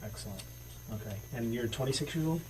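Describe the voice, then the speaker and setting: A man's voice: mid-range voice, fast. A male police detective asking procedural interview questions in a methodical, hesitant way. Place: indoor police interview room. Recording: noisy distant interrogation room recording.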